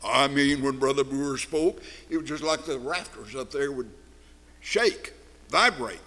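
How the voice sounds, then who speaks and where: An older man with a deep, distinctive voice, reminiscing in a sermon with slow, deliberate phrasing. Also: bright, forceful tone, long reverb tail. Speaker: preacher. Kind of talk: sermon-style storytelling.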